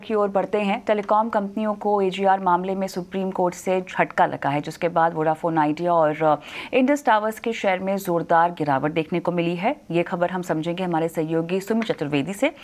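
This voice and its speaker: A woman in her thirties anchoring live business news from a TV studio, brisk but with frequent fillers. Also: fairly low pitch, darkish tone.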